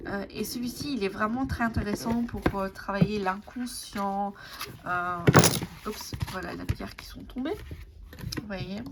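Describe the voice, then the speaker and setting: Hesitant woman, native Italian speaker. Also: mid-range voice. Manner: hesitant, many fillers and restarts. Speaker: tarot and oracle deck reviewer. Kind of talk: casual show-and-tell vlog. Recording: clear background noise.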